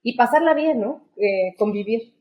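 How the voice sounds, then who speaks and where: A woman with a fairly high voice talks casually as a podcast co-host. The sound is roomy, with clear echo around her voice. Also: lively intonation, moderate pace, darkish tone. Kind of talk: casual conversation.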